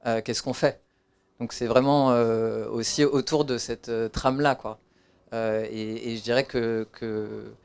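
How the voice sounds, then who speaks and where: A man's voice: medium pitch, darkish tone, clean tone. A man, the author of the book, answering audience questions in a hesitant way with many fillers and restarts. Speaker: author presenting his book.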